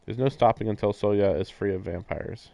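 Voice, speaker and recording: A man's voice: lowish voice, steady tone, moderate pace, vocal fry, mellow, no echo. A man reading a visual novel's narration aloud on a stream, breaking into casual commentary.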